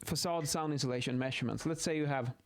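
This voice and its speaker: A man with a slightly high voice teaches in an informal, improvised way. He thinks aloud as he explains, often halting and rephrasing mid-sentence like an instructor working something out as he goes. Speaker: acoustics instructor explaining measurement methods. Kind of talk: informal explanatory lesson, improvised while sketching.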